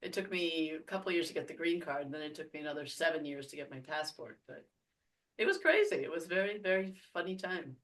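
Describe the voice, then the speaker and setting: A woman's voice: sits low, fast, recorded from a distance, poor audio. A woman from France, a podcast guest, telling personal stories in casual chat and laughing often. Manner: laughs often, animated storytelling. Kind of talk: casual conversational storytelling.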